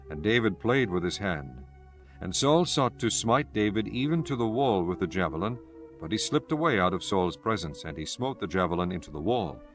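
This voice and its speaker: Casting: male narrator for dramatized scripture, low voice, solemn storytelling, faint music bed.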